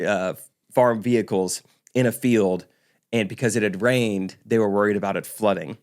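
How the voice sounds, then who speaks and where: A man co-hosting a podcast, telling stories in a dry, relaxed way and mimicking the people in them. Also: lowish voice, normal speed. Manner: dry, relaxed storyteller who mimics others. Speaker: podcast co-host. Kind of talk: casual storytelling chat.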